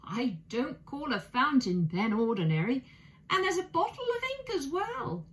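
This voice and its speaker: A woman's voice: high voice, big pitch swings, moderate pace, pitched above the performer's own voice, low-quality recording. A woman voicing a genial, appreciative family father, hearty and exclamatory, in a children's storybook reading.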